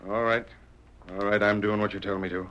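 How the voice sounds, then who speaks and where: An actor playing a gruff frontier sheriff with a folksy drawl in a 1938 radio drama. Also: male voice, on the low side, flat delivery, fairly quick, natural voice. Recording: muffled 1938 radio broadcast, loud hiss.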